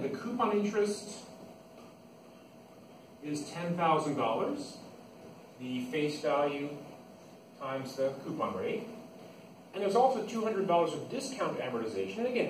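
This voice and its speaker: A man's voice: a little high, sing-song, normal speed, pauses often. A man giving an informal classroom lecture as an instructor, explaining step by step and restating key points. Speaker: accounting instructor. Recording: echoey, noisy room, distant microphone.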